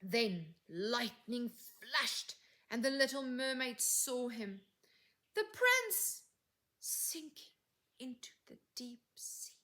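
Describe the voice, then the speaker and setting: A woman reading a fairytale aloud as a storyteller, with deliberate, expressive phrasing and soft, breathy word endings. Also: fairly high pitch. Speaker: English teacher reading stories.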